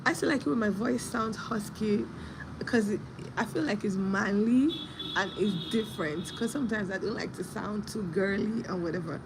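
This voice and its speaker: A young woman hosting a casual live stream, husky from a sore throat, telling a story and greeting viewers by name. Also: medium pitch, normal speed, background noise.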